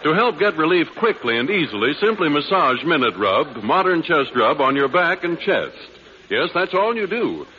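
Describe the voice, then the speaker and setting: Lively male announcer, muffled old radio. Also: on the high side, moderate pace. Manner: polished, courteous salesman delivery. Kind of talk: 1940s radio advertising pitch. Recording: muffled, noisy old 1940s radio broadcast.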